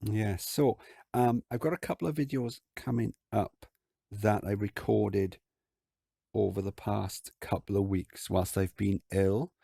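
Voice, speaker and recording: A man with a croaky voice, hosting a casual game commentary and rambling with lots of filler words. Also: lowish voice, steady tone, measured pace, dark tone, dry recording, clean studio sound. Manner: rambling, lots of ums and likes. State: croaky voice from illness. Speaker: gaming channel host. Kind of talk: casual let's-play commentary.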